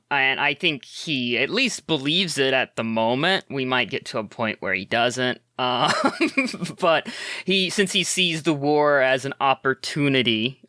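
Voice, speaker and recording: Bright, hesitant man playing a pilot. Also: mid-range voice, smooth, no echo, studio quality. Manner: hesitant, many fillers. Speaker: player. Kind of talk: actual play character talk.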